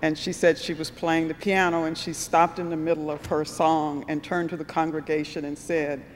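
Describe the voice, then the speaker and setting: An award winner giving an acceptance speech as a radio producer. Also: mid-range voice. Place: large hall at an awards ceremony. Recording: echoey hall with background noise.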